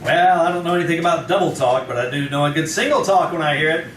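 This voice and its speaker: A man's voice: fairly high pitch, fast, a little hiss or hum, close to an everyday voice. A man playing a genial 1940s radio announcer, delivering scripted narration in a formal, presentational way. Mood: salesmanlike. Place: live on a theatre stage. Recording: roomy stage, distant mic, loud music.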